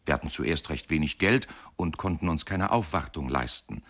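A rueful, wry husband tells his story in a radio play. His voice is deep. The delivery is dry and digressive, like a literary monologue. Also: moderate pace, fairly bright tone, husky, full character voice.

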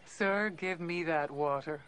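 A middle-aged village woman in a biblical film, wary and direct. Her voice is slightly low, with a plain, questioning delivery. There is faint ambient noise around her. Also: slow, a bit bright. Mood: subdued, wary. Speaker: film actor. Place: outdoors, film location.

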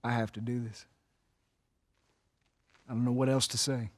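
A man's voice: mid-range voice, flat delivery, moderate pace, close to an everyday voice. An actor playing an earnest, wary middle-aged family man in a survival video game, plain-spoken with a Southern US drawl. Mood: urgent. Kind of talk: cinematic video game acting. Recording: music behind the voice.

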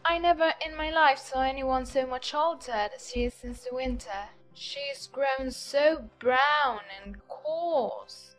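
Sneering, jealous young society lady from a storybook reading, high and mock-polite, soft music beneath, as a female narrator puts her on. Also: measured pace, few pauses, poor audio.

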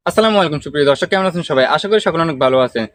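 A man's voice: fairly high pitch, lively intonation, racing. A man narrating a step-by-step tutorial, explaining repetitively and mixing in English words. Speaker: tutorial host.

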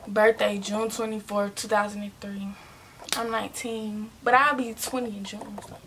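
A young woman of nineteen rambles casually into a camera mic in her small bedroom. Her voice has a gravelly fry, and she often restarts and corrects herself. A faint hiss sits behind her. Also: medium pitch, flat delivery, normal speed. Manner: chatty, rambling, restarts and self-corrections, drops into quiet asides. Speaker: vlogger. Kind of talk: casual Q and A talking to viewers.

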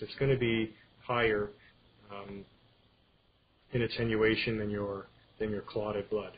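A man giving an informal medical slide lecture, explaining things in a halting way. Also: medium pitch. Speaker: radiology lecturer. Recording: dull screen-capture audio with clear background noise.

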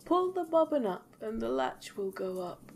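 A woman's voice: a little high, sing-song, vocal fry, slight background noise, pitched above the performer's own voice. A woman voicing a sly, hungry male wolf in a storybook tale, with coaxing, predatory speech. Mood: softly coaxing. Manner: softened, coaxing, drawn-out speech.

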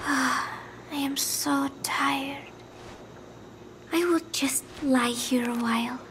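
High-voiced, fragile, confused young woman. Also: steady tone, extremely slow, background noise. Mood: confused, weary. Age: young adult. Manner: soft, hesitant, trailing off. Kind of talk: dramatic video game acting.

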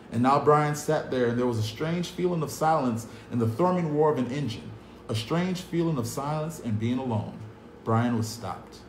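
A man in his thirties reading a novel aloud for kids, earnest and dramatic, recorded on a phone at a table indoors. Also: mid-range voice. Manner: earnest, dramatic, upbeat at the close. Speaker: youth club staff reading a novel aloud. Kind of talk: read-aloud storytelling for kids. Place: cinderblock room at a table, indoors. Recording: echoey bare room, faint hum.

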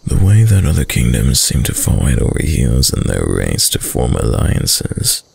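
A man playing a dominant, seductive dark prince in an intimate roleplay audio drama, suave, hushed and deliberate, with a deep, gravelly, breathy voice. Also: sing-song, a little hiss or hum, heavily acted. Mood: smooth, coaxing. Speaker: voice actor.

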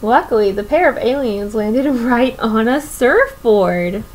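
A woman's voice: fairly high pitch, lively intonation, measured pace. A young woman reading a picture book aloud to children expressively, often putting questions to her listeners. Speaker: children's story reader. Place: small room at home, indoors.

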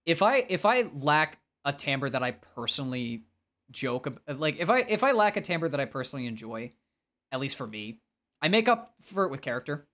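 A man's voice: fairly high pitch, very animated, normal speed, a bit bright. A man with a nasal voice, talking casually and expressively as he livestreams a game and answers chat, often self-deprecating.

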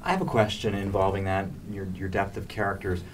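A man's voice: low-pitched, steady tone, fairly quick. A man interviewing guests in a conversational style. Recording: slightly distant mic, faint room noise.

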